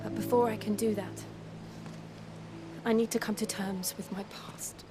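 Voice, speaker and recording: An actress playing a grave, remorseful young warrior woman in a fantasy video game, speaking in a slow, solemn, confessional way. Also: mid-range voice, fairly even intonation, close to an everyday voice. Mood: pained resolve. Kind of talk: dramatic fantasy game acting. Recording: dramatic music under the voice. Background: loud music.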